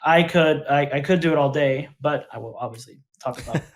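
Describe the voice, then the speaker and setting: A man's voice: a little high, brisk. Hesitant man guest from Puerto Rico. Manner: hesitant, many fillers. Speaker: podcast guest. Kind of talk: casual chat.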